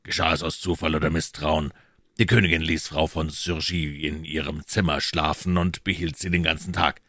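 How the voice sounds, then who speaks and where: A man voicing a loyal, assured gentleman reporting to his master in an audiobook, measured and precise. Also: a bass voice, little pitch movement, no echo, studio quality, full character voice. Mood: assured, urgent. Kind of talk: audiobook character dialogue.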